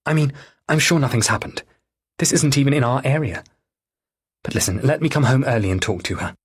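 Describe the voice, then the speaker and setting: A man's voice: mid-range voice, expressive, fairly quick, slightly rough, voice pushed up. An audiobook portrayal of a worried mother and wife, acted by a man. The voice is lifted well above a natural male register. She pleads earnestly, with some hesitation. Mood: anxious.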